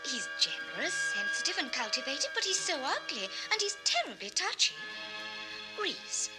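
A woman's voice: sits high, wildly swooping pitch, moderate pace, loud music behind the voice, low-quality recording. A woman playing a coquettish, fickle sixteen-year-old noble girl in a 1960s children's record drama. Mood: flighty, gossipy.

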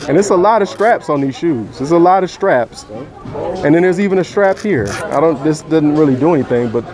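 An American man hosting a sneaker vlog in a store, bantering casually in an excitable, joking, slangy way. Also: a little high, brisk. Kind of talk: casual banter while browsing. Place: inside a mall sneaker store. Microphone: handheld phone or camera mic. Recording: loud music behind, store noise. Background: loud music.

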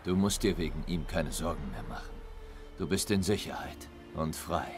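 A laconic, grizzled monster hunter. The voice is very deep and his delivery is terse and dry, set against loud game music. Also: normal speed, creaky. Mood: calm. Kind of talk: German game dub. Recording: studio dub over loud music.

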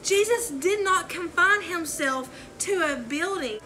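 A woman's voice: very high voice, unhurried, a little hiss or hum. A young woman with a Southern accent, chatting earnestly about faith while folding laundry at home, talking with her hands. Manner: earnest, preachy and animated with hand gestures. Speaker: vlogger and preacher. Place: living room at home. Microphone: camera on the floor in front of her.

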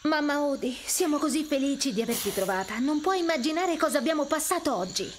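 A woman voicing an earnest, eager young female frog in an animated video game. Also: high-pitched, fast. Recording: loud noise and crowd-like swamp ambience.

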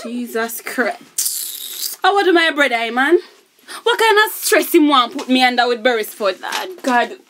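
Worried Jamaican mother, scolding, high pitch, animated, noisy radio drama recording. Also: unhurried. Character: exasperated, anxious married sister and mother. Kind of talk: radio drama acting in Patois.

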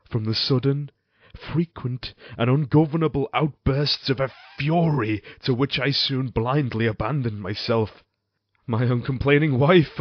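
Man acting a slow, tormented Gothic horror husband. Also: mid-range voice, no room sound, muffled recording. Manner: brooding, dramatic, halting archaic diction. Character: tormented, violent-tempered married man, Gothic horror narrator. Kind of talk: theatrical Gothic audio drama monologue.

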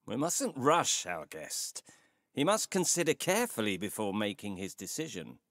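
An actor playing a courteous, mysterious old tailor in an audio story, speaking in a formal, deliberate way. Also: male voice, lowish voice, sing-song, a bit bright, no room sound, clean studio sound. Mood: genial, gracious. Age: older. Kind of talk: dramatised audio short story acting.

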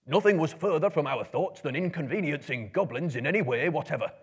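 A man performs a proud dwarf leader from a storybook. The voice is husky and raised, with a lively rise and fall, stiffly polite and declamatory. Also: on the high side, brisk, voice pushed up. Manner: formal, declamatory, evasive courtesy.

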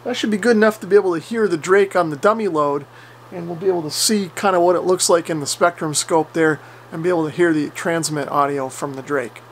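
A fairly high-voiced man in his forties hosts a casual hobby demonstration. He explains things informally, step by step, with clear noise behind him. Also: brisk. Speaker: ham radio hobbyist and video host. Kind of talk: casual hands-on radio demonstration. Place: home basement radio workshop.

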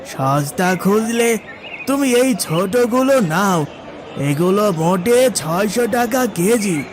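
High-pitched, blunt village fishmonger. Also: male voice, lively intonation, slow pace, noisy background. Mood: salesmanlike. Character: blunt but soft-hearted village fish seller. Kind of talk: animated fairy tale acting.

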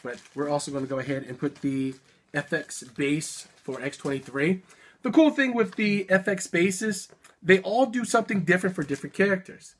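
Man in his thirties, slightly high voice, upbeat unboxing chat at a webcam, faint room hiss. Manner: upbeat, chatty, quick little laughs. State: a bit sick, sniffling. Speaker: toy unboxing vlogger. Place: indoor room full of collectibles.

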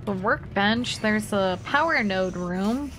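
A woman's voice: mid-range voice, animated, slow pace, fairly bright tone, slight background noise. A young woman streaming a game, chatting playfully with her chat as she plays. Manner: playful, chatty, talks to chat while playing. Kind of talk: casual gameplay commentary to chat.

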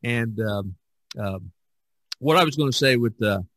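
A man's voice: medium pitch, animated, unhurried, fairly bright tone, no room sound. Man chatting casually, dry close sound. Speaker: podcast host. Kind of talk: casual podcast discussion.

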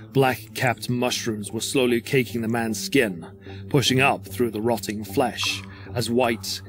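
A man narrates a scripted horror story as in an audio drama. His voice sits at an average pitch, and music plays clearly behind him.

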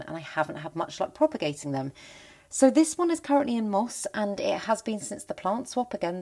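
A woman giving a chatty, enthusiastic tour of her plant collection at home, her voice a little croaky. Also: mid-range voice, darkish tone. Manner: chatty, enthusiastic, rambling with restarts. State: voice slightly croaky. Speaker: plant vlogger. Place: indoor plant cabinet at home.

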